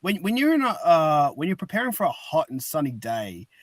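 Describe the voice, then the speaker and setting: A man's voice: fairly high pitch, very animated, fairly quick, no room sound. A man chatting casually as a podcast host, rambling and informal. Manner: rambling, informal, restarts thoughts. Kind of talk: casual chat.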